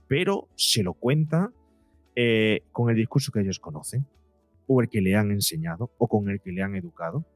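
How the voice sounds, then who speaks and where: A man's voice: on the low side, animated, moderate pace, no room sound, soft background music. A man speaking as an interview guest and psychologist, explaining in a conversational way and voicing imagined quotes to illustrate his points.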